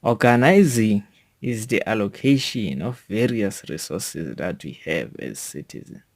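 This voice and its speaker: A South African man reading a scripted educational monologue in a deliberate, formal manner, as a podcast host. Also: on the low side, lively intonation.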